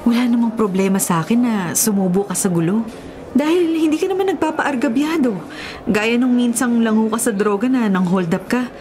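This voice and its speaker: Filipino radio drama: female actor for loyal prisoner's girlfriend, fairly high voice, frank, noisy background. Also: brisk, a little mellow, close to an everyday voice. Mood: concerned. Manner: frank, cautioning. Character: tough convict's loyal, cautioning live-in girlfriend. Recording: noticeable background noise.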